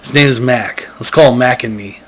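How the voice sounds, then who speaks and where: A man's voice: medium pitch, a little fast. A man telling a comic story as host, rambling, correcting himself and wandering off on digressions. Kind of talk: comic, loosely improvised story narration.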